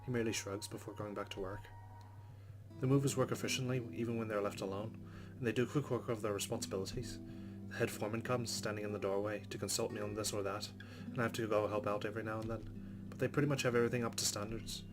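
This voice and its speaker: A man's voice: lowish voice, fairly even intonation, fast talker. A man hosting a let's play, reading visual novel narration aloud and commenting as he goes. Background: guitar game music.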